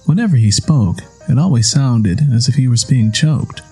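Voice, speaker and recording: A man's voice: mid-range voice, lively intonation, moderate pace, dark tone, slightly rough, faint music behind. A man reading a story aloud as scripted audiobook narration.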